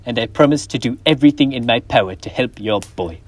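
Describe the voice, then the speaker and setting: A man's voice: mid-range voice, fast, slight background noise, lightly acted. A teenage boy playing a reformed, now generous old miser in a school stage play, speaking earnestly with formal old-fashioned phrasing. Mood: kind. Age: teens.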